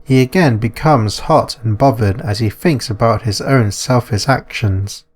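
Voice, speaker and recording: A man's voice: medium pitch, unhurried. A man reading scripted narration for a visual novel as its narrator. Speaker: narrator and author. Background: music.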